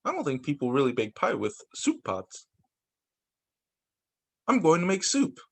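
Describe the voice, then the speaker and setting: A man's voice: a little high, wildly swooping pitch, a little slow, vocal fry, exaggerated voice. A man voicing a scruffy, nasty-tempered varmint creature in a children's storybook, snarling, sarcastic and barking orders.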